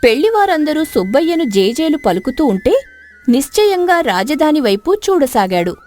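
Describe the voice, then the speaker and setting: A woman's voice: high-pitched, very animated, moderate pace, a little mellow, faint music behind. A woman narrating a scripted story in a dramatic storytelling style.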